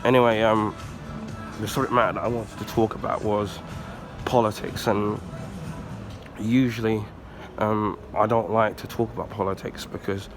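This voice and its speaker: A man from the UK with a husky, congested voice from the flu, giving a casual rambling rant with many ums and throat clearing. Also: fairly low pitch, steady tone, normal speed, music in the background. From United Kingdom. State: husky, recovering from flu, blocked nose. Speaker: YouTuber.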